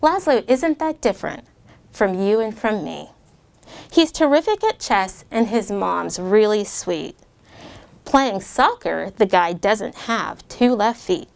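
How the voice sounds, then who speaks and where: A woman voicing a kind, earnest schoolgirl who sticks up for a friend, in a bright, eager child's voice. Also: medium pitch, lively intonation, slow, creaky.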